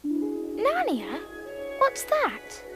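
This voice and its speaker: A woman's voice: very high voice, huge pitch swings, extremely slow, over loud music, exaggerated voice. A female performer playing a curious, frank young English girl in a radio drama, eager and polite with a British accent. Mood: puzzled. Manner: eager, polite, plain-spoken.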